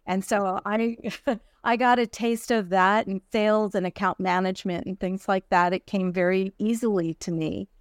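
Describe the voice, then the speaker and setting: A woman's voice: mid-range voice, fairly even intonation, moderate pace, no echo, studio quality. A middle-aged woman from New Jersey, a podcast guest who tells her story in a chatty, easy way and laughs often. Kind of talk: casual interview.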